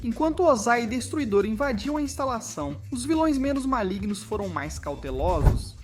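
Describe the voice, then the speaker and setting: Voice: mid-range voice, animated, normal speed. A Brazilian narrator reading a scripted cartoon video essay. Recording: music behind the voice. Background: background music.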